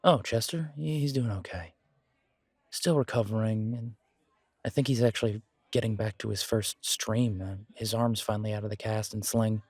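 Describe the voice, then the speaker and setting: A man playing a wry, self-deprecating alpha werewolf in an audio drama, with a sardonic, measured delivery. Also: on the low side, fairly even intonation, a little mellow, slightly rough, no room sound, clean studio sound. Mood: friendly, curious. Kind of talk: scripted audio roleplay drama.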